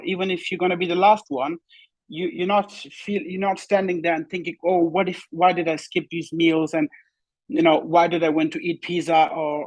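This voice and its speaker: A young man whose first language is Pashto, a bodybuilder telling his story in a relaxed interview and often saying "you know.". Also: fairly high pitch, no room sound, rough recording. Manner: relaxed, repeats "you know" often, simple non-native phrasing. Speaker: bodybuilding competitor, guest. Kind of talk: casual interview talk.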